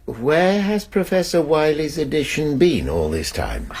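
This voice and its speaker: An actor playing an older college Master in a radio drama, questioning gravely in a gravelly, breathy voice. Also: male voice, on the high side, sing-song, measured pace, a little mellow, full character voice. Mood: grave, probing.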